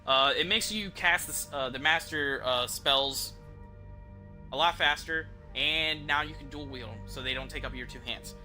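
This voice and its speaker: A man's voice: a little high, moderate pace, pushed and bright, faint music behind. A man who streams games, giving casual, rambling commentary and thinking aloud in informal, mildly profane language. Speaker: game streamer.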